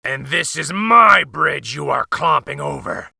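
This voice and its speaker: A man's voice: fairly high pitch, animated, unhurried, gravelly, sharp tone, slightly rough, no room sound, studio quality, exaggerated voice. A man voicing a hungry, crabby male troll who growls and snarls his lines. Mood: menacing.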